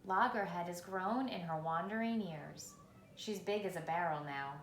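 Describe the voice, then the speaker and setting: A woman with a medium-pitched, noticeably creaky voice reads a children's story aloud. Her delivery is measured and expressive, with dramatic pauses. The room adds clear echo, and there is faint noise behind her. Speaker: reader. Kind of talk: children's picture book read aloud.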